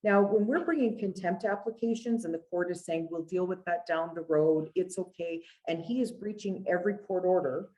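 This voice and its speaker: A Canadian woman with a low, mellow voice speaks as an interview guest. She tells her professional stories conversationally, in an earnest and emphatic manner. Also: moderate pace, few pauses, low-quality recording. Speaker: guest, family lawyer.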